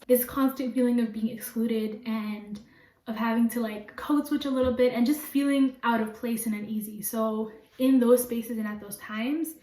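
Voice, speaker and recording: Young Canadian woman for personal testimony, higher voice, recorded in a roomy space away from the mic. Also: a little mellow. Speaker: community project participant. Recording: roomy echo, distant microphone.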